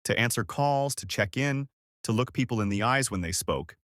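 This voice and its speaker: Male narrator for scripted short fiction. Animated intonation, mid-range voice, very dry sound. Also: medium pitch, moderate pace, no room sound. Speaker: storyteller.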